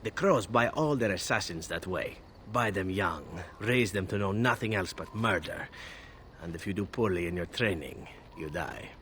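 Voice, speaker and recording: Suave, low-voiced roguish elf assassin. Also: male voice, expressive, normal speed, a bit bright, a little hiss or hum. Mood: wry, nonchalant. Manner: suave, wry, theatrical. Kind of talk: video game character acting.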